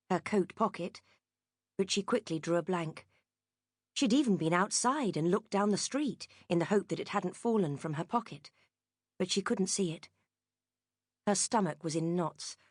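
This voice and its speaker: A woman's voice: lowish voice, no room sound. A British woman reading a novel as a scripted dramatic audiobook narration, close to the microphone. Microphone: close studio microphone.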